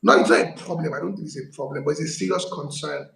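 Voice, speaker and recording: A man's voice: on the high side, quick pace, gravelly, roomy sound. A man hosting a casual live-stream chat, with a playful, hyping manner. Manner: animated, playful hype.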